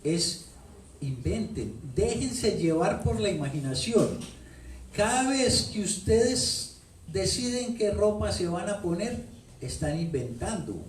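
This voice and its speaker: A man's voice: sits high, big pitch swings, takes it slowly, a little hiss or hum. A man with a Colombian paisa accent, an author speaking playfully to an audience at a book launch, repeating phrases for effect as he tells stories. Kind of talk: animated talk to an audience. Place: bookstore event room. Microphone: handheld microphone. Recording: echoey room from far off.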